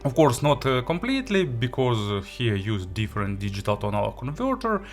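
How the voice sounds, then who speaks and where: A man giving an unscripted product review as a gadget reviewer, speaking haltingly and often searching for words. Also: medium pitch, big pitch swings, soft background music.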